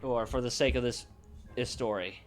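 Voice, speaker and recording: A man livestreaming casually, thinking aloud in a halting, unscripted way as he works through ideas. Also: medium pitch, steady tone, soft background music. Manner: halting, pausing to think and correct himself. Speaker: livestreamer brainstorming a roleplaying campaign.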